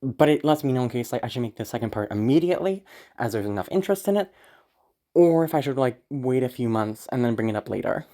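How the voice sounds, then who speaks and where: An audio creator chats informally to listeners in a mid-pitched voice. The delivery is rambling and hesitant, anxious and apologetic, with casual swearing. The throat sounds tired and sore from being sick. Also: expressive, quick pace, dark tone.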